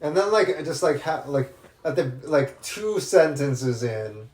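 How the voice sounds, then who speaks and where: A man's voice: a little high, expressive, measured pace, a little mellow. A man co-hosting a casual movie podcast, rambling with many restarts and plenty of enthusiasm. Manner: rambling, restarts often, upbeat about films. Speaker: podcast co-host. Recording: roomy echoey room.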